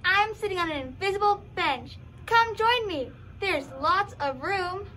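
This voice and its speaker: Bright, high, confident puppet played by a young teen. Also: animated, unhurried, a little hiss or hum, exaggerated voice, low-quality recording. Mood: breezy. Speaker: student puppeteer in a school drama show. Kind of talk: puppet show comedy skit.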